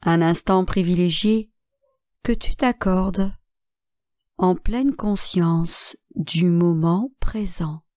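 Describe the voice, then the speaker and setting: A woman guiding a relaxation meditation as a yoga nidra instructor, calm and measured, speaking in short phrases with long pauses. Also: on the low side, no room sound, studio quality.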